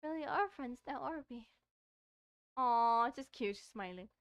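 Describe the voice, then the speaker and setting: A woman streamer giving casual, animated, informal commentary while playing through a visual novel. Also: a little high, a little slow, smooth, no echo, muffled recording.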